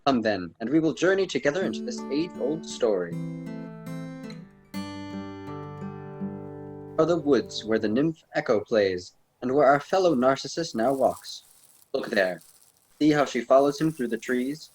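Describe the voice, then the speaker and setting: A man's voice: medium pitch, lively intonation, normal speed, a little mellow, faint music behind, natural voice. A man playing a courteous, lofty ancient poet and guide in a radio drama, speaking formally and theatrically. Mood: guiding.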